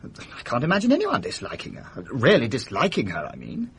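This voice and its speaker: An actor playing a troubled, well-bred Englishman in a radio drama, polite, halting and defensive. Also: mid-range voice, wildly swooping pitch, creaky, a bit bright, a little hiss or hum, full character voice. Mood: shaken, hesitant.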